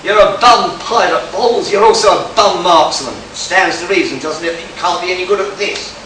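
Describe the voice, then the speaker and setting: A man's voice: high voice, normal speed, close to an everyday voice. A man playing a cool, taunting, tough hero in an amateur radio play, heard from far off in an echoey room. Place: live convention stage in echoey room. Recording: very echoey hall, far-off mic, faint hiss.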